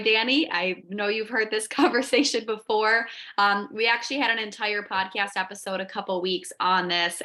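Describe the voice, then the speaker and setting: Female wellness coach, raspy from allergies, bright and keen. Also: medium pitch. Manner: enthusiastic, chatty. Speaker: wellness coach and podcast host. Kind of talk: casual workshop presentation. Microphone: video call.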